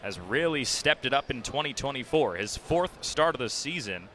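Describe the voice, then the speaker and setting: A man's voice: medium pitch, animated, moderate pace, sharp tone. A young man calling basketball play-by-play for radio in clipped phrases, with emphatic calls on the big plays. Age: young adult. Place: indoor basketball arena. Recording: noisy live arena broadcast. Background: arena noise, bouncing ball.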